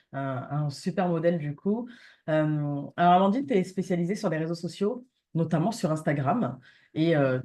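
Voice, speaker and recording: A woman's voice: fairly low pitch, mellow. A woman hosting an online business challenge, introducing her guest in a chatty, enthusiastic way with many fillers. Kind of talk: casual interview introduction.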